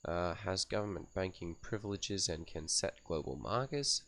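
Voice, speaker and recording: A man hosting a gaming channel, raspy and coughy from a cold, casually thinking aloud as he reads out and fills in game settings one by one. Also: sits low, lively intonation, normal speed, fairly bright tone. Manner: thinks aloud while reading out menu settings. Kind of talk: casual game setup commentary.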